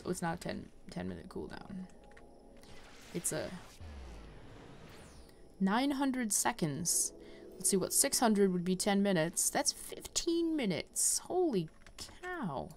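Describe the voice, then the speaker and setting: A woman giving casual game playthrough commentary, rambling and thinking out loud as she goes. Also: mid-range voice, a little hiss or hum. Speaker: gaming video host.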